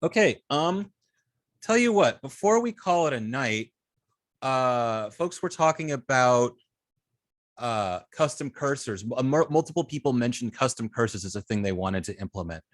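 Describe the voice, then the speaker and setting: Male instructor, informal and halting, mid-pitched, very little room sound. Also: lively intonation, no room sound, studio quality. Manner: hesitant, halting, often repeats words. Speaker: web design class instructor. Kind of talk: informal online teaching and student check-ins.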